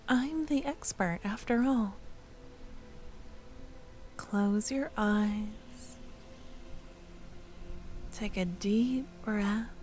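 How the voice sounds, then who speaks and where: A woman playing a shy, excitable, tender young friend in love in a soft-spoken ASMR audio roleplay, breathy and often near a whisper. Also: mid-range voice, a little hiss or hum. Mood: soothing, hushed.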